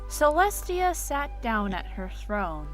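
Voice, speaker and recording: A woman's voice: a little high, very animated, takes it slowly, faint music behind, low-quality recording. A woman narrating a scripted dramatic story as its storyteller.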